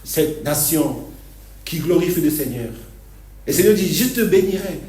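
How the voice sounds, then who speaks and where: Male pastor, stop-start delivery with frequent laughs, mellow voice; distant mic in an echoey church hall. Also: fairly high pitch, expressive. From Argentina. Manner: halting, repeats words, laughs often. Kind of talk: sermon.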